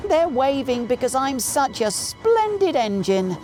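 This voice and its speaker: Storybook engine character, played by a man: high, swooping, cheeky, impatient and full of himself, light music behind. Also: unhurried, exaggerated voice. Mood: proud, excited. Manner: excitable, self-important, whistling peeps. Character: cheeky, impatient, boastful young male tank engine.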